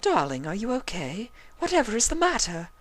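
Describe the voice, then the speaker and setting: An elegant, haughty cartoon mare played by a woman reader, pitched high and swooping through every phrase. She speaks with refined, theatrical affectation, every inch the fashion diva. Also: wildly swooping pitch, brisk. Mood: puzzled. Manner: affected, dramatic, refined diction.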